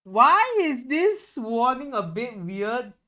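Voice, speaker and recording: A man's voice: extremely high pitch, big pitch swings, slow pace, pushed and bright. A man chatting casually on a livestream, rambling and candid, swearing freely as he goes. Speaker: streamer and VTuber.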